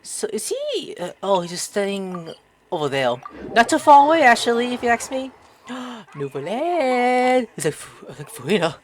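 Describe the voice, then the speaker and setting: A woman doing gameplay commentary and reading story text aloud, halting and excitable, often repeating words. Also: fairly high pitch. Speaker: gameplay video host.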